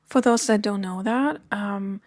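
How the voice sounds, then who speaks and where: A woman in her thirties from Germany, talking earnestly to camera in a personal vlog, with frequent soft ums. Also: medium pitch, unhurried, dry recording, studio quality. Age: 30s. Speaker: vlogger. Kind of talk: personal storytelling chat to camera.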